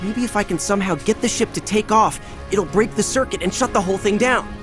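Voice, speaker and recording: An actor voicing a brave, wisecracking teenage boy hero in a video game, determined and quick with a joke. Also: sits high, natural voice. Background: music.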